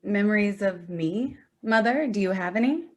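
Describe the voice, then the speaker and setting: A woman's voice: medium pitch, lively intonation, moderate pace, close to an everyday voice. Woman playing a sardonic, wounded grown daughter. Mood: insistent, hurt. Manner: dry, sarcastic, confrontational. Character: wounded, sardonic adult daughter, pressing her mother. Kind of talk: staged play reading.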